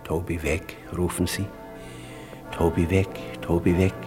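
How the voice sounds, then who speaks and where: A deep-voiced, whimsical old father. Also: little pitch movement, unhurried. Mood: warm, devout. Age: older. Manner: theatrical, savouring, exclamatory. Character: poor old London errand porter, tender, whimsical father. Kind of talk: 1950s German radio play acting. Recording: 1950s radio production with music.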